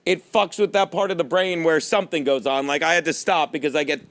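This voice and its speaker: A man with a notably high voice hosts a rowdy comedy talk show. He swears freely, jokes, and breaks into laughter while steering the interview. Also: steady tone, quick pace, fairly bright tone, no echo. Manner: loose, profane, laughs often. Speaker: host.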